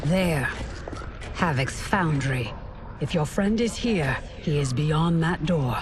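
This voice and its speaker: An actress playing a composed, commanding resistance fighter with measured, formal speech in a video game cutscene. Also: female voice, low voice, lively intonation, background noise. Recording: loud music over the voice.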